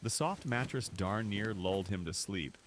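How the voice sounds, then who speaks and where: A man narrating a scripted story for an audio drama in an expressive, dramatic way. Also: fairly low pitch, normal speed, slight background noise. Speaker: narrator and voice actor.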